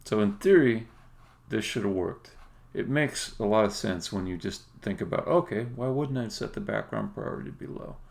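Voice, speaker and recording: A man talking casually and haltingly as he thinks aloud on a live coding stream, with some words stressed emphatically. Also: lowish voice, animated, gravelly. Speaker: game developer livestreaming.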